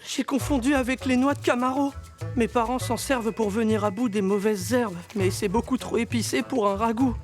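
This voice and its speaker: A woman voicing an eager, boastful young boy herbalist in an animated series. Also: fairly high pitch, moderate pace, natural voice. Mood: friendly. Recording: clear background music.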